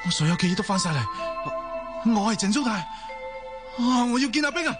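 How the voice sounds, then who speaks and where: A man's voice: very high voice, expressive, fairly quick. A man playing an urgent, lovestruck young man in a melodramatic Cantonese radio drama, with a Hong Kong accent. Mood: frantic, yearning. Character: ageless young man regaining past life, lovestruck, frantic. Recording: music under the voice.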